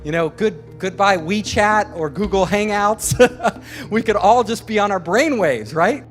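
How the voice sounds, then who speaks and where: A man's voice: high voice, unhurried, faint music behind. A man giving a lecture in a chatty way, chuckling between points. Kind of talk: public lecture.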